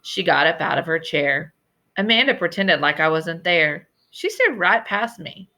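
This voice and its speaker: Bright-voiced woman reading a story aloud. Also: fairly low pitch, big pitch swings, unhurried. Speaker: story reader. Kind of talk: expressive scripted storybook narration.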